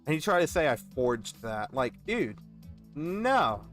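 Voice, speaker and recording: A man, chatting casually as a gaming host and telling an animated, complaining story. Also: a little high, takes it slowly, faint music behind. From Texas. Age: 20s. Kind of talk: casual rambling chat while gaming.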